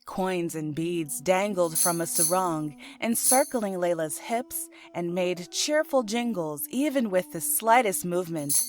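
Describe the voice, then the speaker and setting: A woman reading scripted storybook narration in an expressive, unhurried manner. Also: fairly low pitch, soft background music. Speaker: author and narrator.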